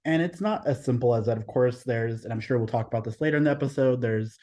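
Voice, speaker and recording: A man from Texas, a guest artist on a podcast, talking casually and thoughtfully, often pausing to find his words. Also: medium pitch, mellow. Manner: thoughtful, searching for words, frequent restarts.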